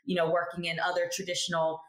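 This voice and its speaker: A woman's voice: fairly low pitch, fast. A woman in her thirties, a chemist guest telling stories in a casual interview, acting out the people she quotes. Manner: expressive storyteller who acts out quoted people. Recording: roomy echo.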